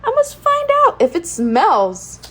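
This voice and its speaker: A woman acts out a little storybook boy, curious and easily worried. The voice is very high and swoops up and down as he exclaims and puzzles things out with wide-eyed questions. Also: wildly swooping pitch, takes it slowly, fairly bright tone, full character voice. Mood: wondering, dismayed.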